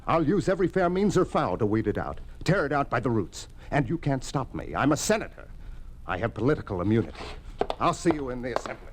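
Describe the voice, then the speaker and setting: A man acting as a defiant senator in an old radio drama. He speaks earnestly in a mid-pitched voice that rises and falls sharply, over a faintly hissy vintage recording. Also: big pitch swings, fast talker, lightly acted. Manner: earnest, forceful, challenging. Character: defiant, hot-tempered senator fighting a tyrannical regime.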